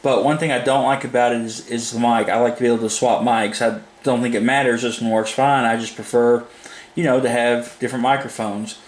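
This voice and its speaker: A man's voice: mid-range voice, flat delivery, fairly quick. A man giving a casual, unscripted product demo in a plain-spoken, informal, rambling way. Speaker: hobbyist product reviewer. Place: indoors at a home desk. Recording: echoey room, faint background noise.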